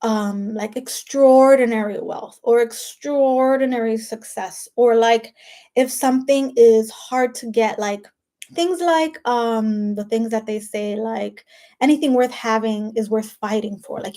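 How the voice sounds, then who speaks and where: High-voiced woman with a Spanish accent. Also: measured pace, dark tone. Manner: chatty, peppered with "like" and "right?"; emphatic and preachy. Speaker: life coach. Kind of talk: casual motivational monologue.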